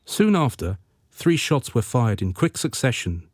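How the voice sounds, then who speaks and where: A man's voice: mid-range voice, big pitch swings, measured pace, background noise. A man narrating a radio drama as a solemn storyteller, in a literary, dramatic manner. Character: solemn, florid storyteller of a Venetian tale.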